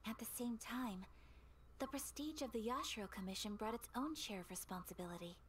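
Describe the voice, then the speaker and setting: A woman playing a refined, gentle young noblewoman in a game, speaking formally and softly, with measured, polite phrasing and some breathiness. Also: a little high, a little hiss or hum, lightly acted. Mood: reflective. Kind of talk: English game dub acting. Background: game music.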